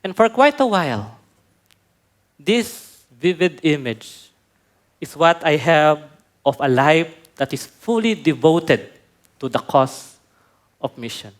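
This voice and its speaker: A male pastor giving a conference talk, telling a personal story in a slow, reflective way. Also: fairly high pitch, big pitch swings. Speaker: pastor, speaker. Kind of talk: sermon talk.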